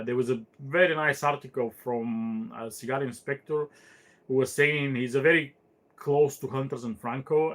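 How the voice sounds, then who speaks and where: Meandering, thoughtful podcast host, man. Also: medium pitch. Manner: thoughtful, meandering, with frequent fillers and restarts. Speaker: podcast host answering listener questions. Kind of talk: casual chat.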